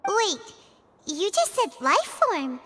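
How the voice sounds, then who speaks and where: A woman voicing a tiny, excitable female companion creature with a high, squeaky, childlike voice in a video game. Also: slow, very noisy, exaggerated voice. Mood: excited. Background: game background music.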